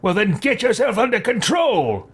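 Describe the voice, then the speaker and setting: A man's voice: high-pitched, big pitch swings, moderate pace, slightly rough, heavily acted. A man voicing a haughty, irritable, self-important wizard while roleplaying over a video game, with pompous, indignant theatrics.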